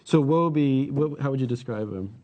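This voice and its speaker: A man's voice: medium pitch, moderate pace, muffled recording, soft background music. A man giving an upbeat, step-by-step live product demo. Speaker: product demonstrator.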